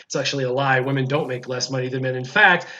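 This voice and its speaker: Bright-voiced man chatting on stream. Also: medium pitch, steady tone, fast. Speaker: gaming streamer hosting, reading viewer questions. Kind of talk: casual livestream chat with a guest. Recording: slightly dull, lo-fi stream audio.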